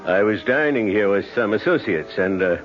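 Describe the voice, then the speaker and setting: Radio drama: man as oily, polite creditor, low pitch, formal pauses, quiet menace, muffled broadcast. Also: lively intonation, lightly acted. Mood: smoothly menacing. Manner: formal, deliberate, courteous with pauses. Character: suave, veiledly threatening gentleman creditor, oily politeness. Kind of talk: old-time radio drama acting. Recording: muffled old radio, music behind.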